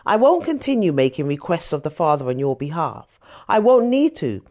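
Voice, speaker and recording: A woman reading scripture aloud as scripted audiobook narration, with an expressive storytelling delivery. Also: sits low, moderate pace. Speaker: audiobook reader.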